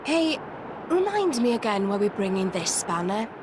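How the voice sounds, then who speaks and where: A woman's voice: medium pitch, very animated, moderate pace, background noise, lightly acted. A woman voicing a feisty, sarcastic young girl adventurer in a video game, snappy and blunt. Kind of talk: video game cutscene acting.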